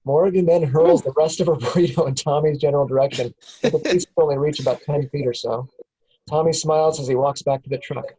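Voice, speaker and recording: A man's voice: fairly high pitch, fairly even intonation, fast, warm tone, breathy edge, poor audio. A man reading scripted stage directions aloud as the narrator at a table read.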